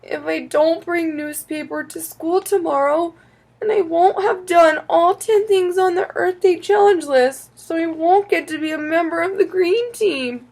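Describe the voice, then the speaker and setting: Earnest, easily upset little boy for a kids' audiobook, played by a woman, high and swooping. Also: animated, moderate pace, a bit bright. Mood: tearful, flustered. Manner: stammering, pleading when upset. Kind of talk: children's audiobook character.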